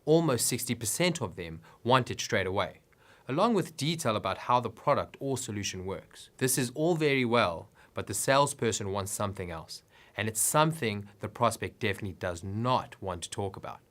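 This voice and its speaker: A man's voice: on the low side, expressive, fairly quick, fairly bright tone. A man reading scripted business advice narration in an instructive way with emphatic phrasing.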